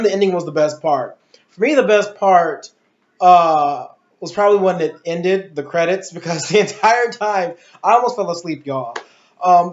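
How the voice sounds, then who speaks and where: An adult man giving a casual, opinionated movie review in a blunt, exasperated, slangy way. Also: sits high. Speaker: video reviewer and host. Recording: echoey room, dull lo-fi audio.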